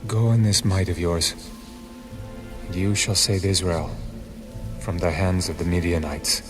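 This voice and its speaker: A low-voiced, commanding angel delivers solemn divine orders in a scripture audio drama. The speech is measured and authoritative, with music clearly underneath. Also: male voice, steady tone.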